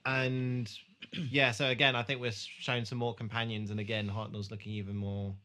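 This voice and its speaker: A man's voice: medium pitch, level tone, normal speed, bright, forceful tone. A man co-hosting a podcast, chatting casually through a film recap in a hesitant, self-correcting way. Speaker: podcast co-host.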